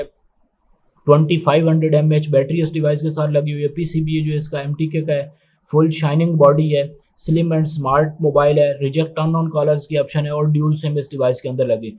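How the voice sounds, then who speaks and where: Male product reviewer, slightly high voice, flat delivery, methodical and matter-of-fact. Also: low-quality recording. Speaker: tech reviewer presenting a phone unboxing. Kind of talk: unscripted step-by-step product demonstration.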